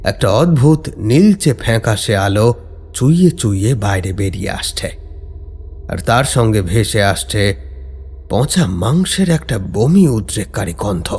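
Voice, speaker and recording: A man narrating a scripted horror story in a dramatic, suspenseful, deliberate way. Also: lowish voice, big pitch swings, darkish tone, slight background noise.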